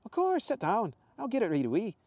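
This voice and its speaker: Man putting on a high, friendly Scottish woman. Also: huge pitch swings, fairly quick, darkish tone, no room sound, heavily acted. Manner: chatty, friendly. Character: friendly, softer-spoken red-haired Scottish wife.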